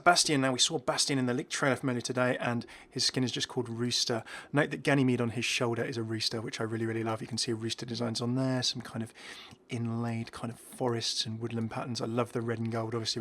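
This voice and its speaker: A man's voice: medium pitch, a bit bright, slight background noise. Man giving casual gaming commentary. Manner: enthusiastic, rambling reactions. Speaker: gaming video host. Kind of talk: casual commentary on game cosmetics.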